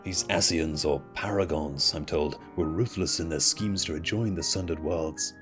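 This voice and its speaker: A man reads out a learned fantasy game scholar with a low voice. He is solemn and grave, speaking in formal, measured phrases over game music. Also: a bit bright. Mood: solemn, resolute. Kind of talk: reading fantasy game dialogue aloud.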